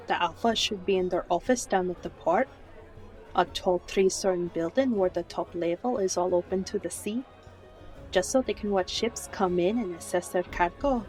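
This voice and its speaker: A woman's voice: fairly low pitch, natural voice, low-quality recording. A woman playing a friendly, wry bartender in a fantasy audio roleplay, chatty and familiar in manner. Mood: matter-of-fact.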